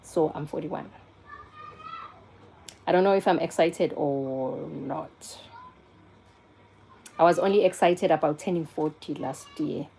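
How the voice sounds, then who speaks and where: A woman's voice: deep voice, slow pace, gravelly, pauses often, mellow, breathy edge, poor audio. A South African woman in her forties chatting casually on a live stream, answering viewers and taking a call in between. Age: 40s. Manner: chatty, answers viewers and phone calls, switches between English and Zulu.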